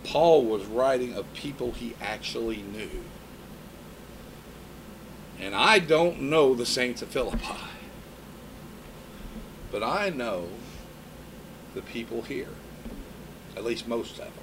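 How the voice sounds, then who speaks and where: Male preacher, fifties, relaxed storytelling sermon, mid pitch. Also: slow, long pauses, background noise. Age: 50s. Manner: conversational preaching with personal stories.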